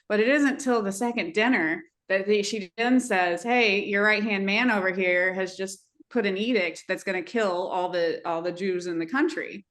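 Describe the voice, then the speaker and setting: A woman hosting a podcast, talking casually and thinking aloud in a halting, reflective way. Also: medium pitch, fairly bright tone. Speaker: podcast host. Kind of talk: casual conversational storytelling.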